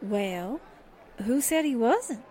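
A woman playing a wisecracking young newspaperwoman in an old-time radio drama, dry and deadpan. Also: a little high, takes it slowly, faint music behind. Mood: sardonic. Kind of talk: 1940s-style radio drama acting.

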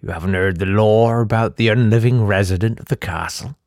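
A man's voice: on the low side, fairly quick, gravelly, darkish tone, no room sound, studio quality. A man voicing a scared, superstitious village barkeep in a comic horror story, giving earnest, ominous warnings.

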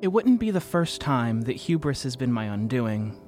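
A man's voice: medium pitch, sing-song, normal speed, darkish tone, close to an everyday voice. Male actor, audio drama narrator, rueful, introspective, self-deprecating confession, music behind. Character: rueful, self-blaming man confessing his own hubris.

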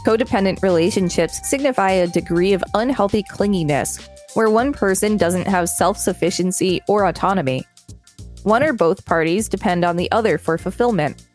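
A woman's voice: mid-range voice, normal speed, soft background music. A woman co-hosting a podcast, reading encyclopedia material aloud with some casual commentary. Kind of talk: scripted narration read aloud, with brief casual commentary.

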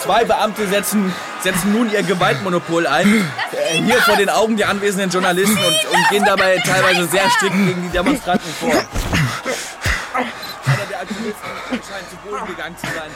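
A man playing a field reporter in an audio drama, giving halting live commentary on a protest. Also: high-pitched, natural voice. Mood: tense, observant. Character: excited male reporter covering a violent protest. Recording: noisy outdoor scene.

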